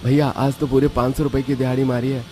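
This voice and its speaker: A man voicing a poor, affectionate labourer father in a cartoon story, homely and expressive. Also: medium pitch, fast talker, faint music behind, natural voice. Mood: practical. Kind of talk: Hindi cartoon story acting.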